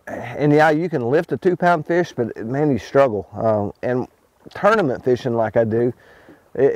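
A man's voice: medium pitch, no echo, rough recording. Middle-aged man explaining while fishing outdoors. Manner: relaxed, explains as he fishes, many ums and restarts. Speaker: fishing pro. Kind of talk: casual how-to fishing talk. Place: on a fishing boat on an open lake, outdoors.

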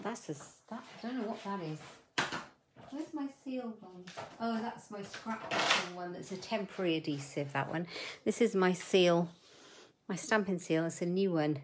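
A woman's voice: mid-range voice, normal speed, a little mellow, slight background noise. A middle-aged woman hosting a live crafting demo from her desk at home, chatting with viewers and muttering asides as she works. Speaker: papercraft host.

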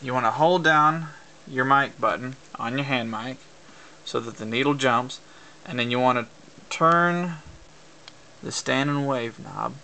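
A man's voice: mid-range voice, unhurried, pauses often, pushed and bright. A man giving an informal hands-on tutorial, explaining things plainly step by step. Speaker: amateur instructor demonstrating CB radio use. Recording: faint background noise, clicks, amateur camera.

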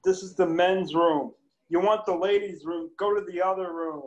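A man reading the part of an easily annoyed bar owner in an amateur table read over a video call, shouting and complaining in exasperation. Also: high voice, steady tone, normal speed, dull audio, noisy background, close to an everyday voice. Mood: exasperated. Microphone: laptop webcam mic on video call.

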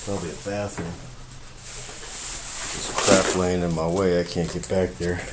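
A man's voice: low-pitched, level tone, normal speed. A man muttering sweary, off-the-cuff commentary to himself as he explores with a handheld camera. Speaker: urban explorer filming. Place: in and around an abandoned house. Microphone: handheld compact camera's built-in mic. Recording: very noisy handheld camera, outdoor ambience.

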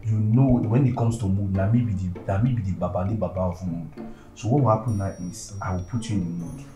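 A man's voice: on the low side, fast talker, natural voice. A man with a Nigerian accent acting a pleading, boastful, impatient partner in a comedy skit, whiny, insistent and repetitive. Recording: echoey room, far from mic, music behind.

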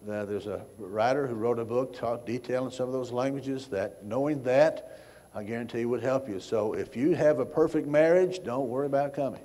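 A man speaks in a medium-pitched voice. He is giving an informal announcement to a church congregation, talking to them directly in an unscripted way. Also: moderate pace, warm tone.

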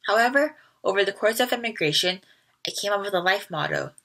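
A woman's voice: medium pitch, animated, brisk, creaky, a bit bright. A woman in her twenties from South Korea giving an earnest closing statement to camera in a small bedroom. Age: 20s. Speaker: student sharing her life motto.

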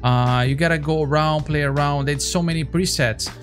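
Man, slightly high voice, informal tutorial delivery, faint music behind. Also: steady tone, normal speed. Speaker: tutorial host. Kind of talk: casual software tutorial. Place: small home streaming room. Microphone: close desk microphone.

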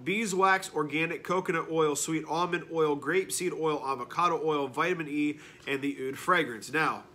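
A man's voice: on the high side, bright, forceful tone. Congested, nasal man reviewing beard oil. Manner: enthusiastic, repeats words for emphasis. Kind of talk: casual product review. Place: living room, seated on a couch.

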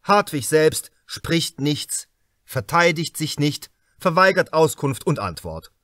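A man's voice: on the high side, very animated, slow, fairly bright tone, dry recording, studio quality, heavily acted. Man acting a stern, emphatic courtroom prosecutor. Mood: grave, insistent. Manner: oratorical, emphatic, formal. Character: severe state prosecutor making a grave accusation in court.

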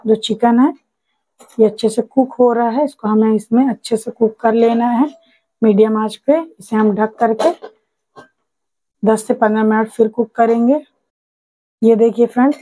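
Woman doing a home cooking tutorial, mellow and a bit high, dry close sound. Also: no echo, rough recording. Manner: patient, repeats "ye dekhiye friends" often. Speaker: cooking channel host. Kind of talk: step-by-step cooking instruction. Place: home kitchen.

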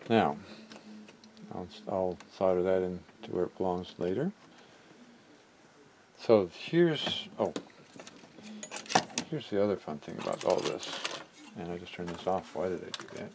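Deep gravelly man muttering over repairs. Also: animated, background noise. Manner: halting, thinking aloud while working. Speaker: repair hobbyist and video maker. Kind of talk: casual hands-on repair narration. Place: indoor cluttered electronics workbench.

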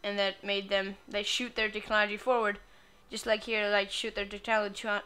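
A woman's voice: mid-range voice, flat delivery, fairly quick, pushed and bright, clear, resonant tone. A woman gamer giving casual commentary on a playthrough in a crude, sweary, playful way.

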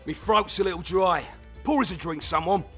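A hard-drinking, boastful soldier, in a fantasy game. His speech is rough and colloquial, pitched a little high, over faint music. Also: normal speed, a bit bright. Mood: boastful, nostalgic. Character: bragging, hard-drinking veteran male soldier. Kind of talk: video game voice acting.

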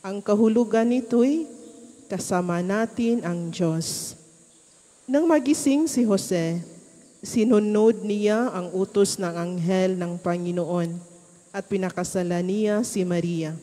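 Woman, slow reverent reading, warm low voice, long church reverb. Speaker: prayer leader and gospel reader. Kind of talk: slow devotional rosary and scripture reading.

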